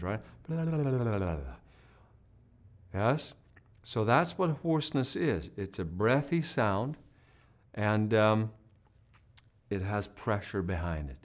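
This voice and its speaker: Man teaching singing with a lowish voice. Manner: demonstrates hoarse and humming sounds mid-explanation; explains patiently, often checking with "right?". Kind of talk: instructional video lesson.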